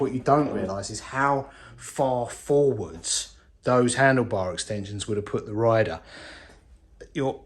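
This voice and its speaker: Echoey room, man, medium pitch, casual product review, informal, thinking aloud, voice drifting from the mic. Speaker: bike restorer presenting his video. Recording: roomy echo, voice drifting from mic.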